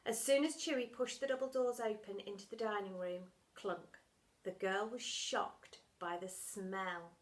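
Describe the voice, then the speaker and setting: A woman reading a children's storybook aloud like a teacher, dramatic and expressive, punching the sound words. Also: mid-range voice, a little slow.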